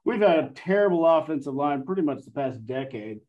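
A man joins a sports podcast as a guest and rambles with lots of fillers. His voice sits a bit high. The recording sounds dull and lo-fi. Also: animated, brisk. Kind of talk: casual sports chat.

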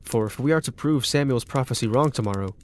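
An actor playing a calm, concerned companion of a king in a dramatized Bible story. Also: mid-range voice, fast talker, slight background noise, close to an everyday voice.

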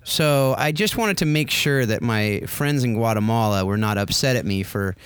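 A man's voice: mid-range voice, normal speed, smooth, no room sound. An American man speaking conversationally as a podcast host, in a chatty, wordy way. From United States. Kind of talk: conversational interview.

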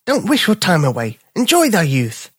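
A storyteller voicing a small old male dog who is polite, gentle and sad. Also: medium pitch, huge pitch swings, normal speed, breathy edge, no echo, studio quality, pitched above the performer's own voice. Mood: wistful. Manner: polite, wistful.

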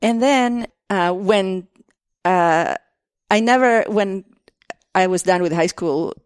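A woman from Argentina with an Argentine Spanish accent, a native Spanish speaker, talking as an artist guest in a casual interview, pausing and restarting often. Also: medium pitch, smooth, dry recording, clean studio sound. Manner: hesitant, many restarts and fillers.

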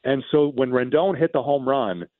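A man's voice: mid-range voice, moderate pace, no room sound, phone-quality sound. A man talking off the cuff as a sports radio commentator, recounting games in emphatic detail and often repeating a phrase for stress. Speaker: radio baseball commentator and guest.

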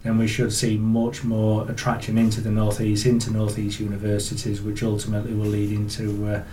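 A man with a Yorkshire accent, an industry association chief executive, answering interview questions in a measured, steady way with frequent ums. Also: lowish voice. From Yorkshire, England. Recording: roomy, distant mic with faint noise.

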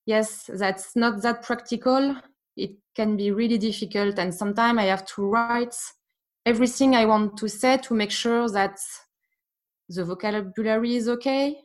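A woman with a French accent talks earnestly in a fairly high voice. She is a painting teacher and sometimes pauses to search for the right English word. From France. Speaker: art teacher, guest. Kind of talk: casual conversation.

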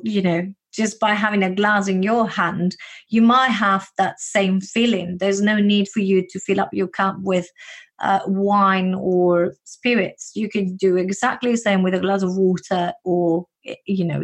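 A woman's voice: medium pitch, steady tone, a little slow. A woman with a Spanish accent, giving earnest, encouraging advice in a casual podcast chat, with frequent fillers. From Spain.

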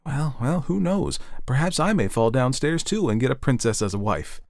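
A man's voice: mid-range voice, expressive, moderate pace, gravelly, dry recording, studio quality, voice pushed up. A man voicing an eager, wondering young fir tree. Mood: hopeful. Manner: wistful, breathless wondering questions. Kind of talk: storybook reading.

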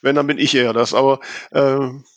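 A man's voice: mid-range voice, steady tone, a little fast, dry recording. A man hosting a podcast in a casual, conversational style. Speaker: podcast host.

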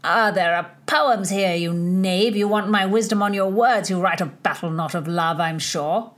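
A woman's voice: mid-range voice, normal speed, close to an everyday voice. A woman voicing a vain, drunken young male earl and poet, declaiming grandly in a storybook reading. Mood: pompous.

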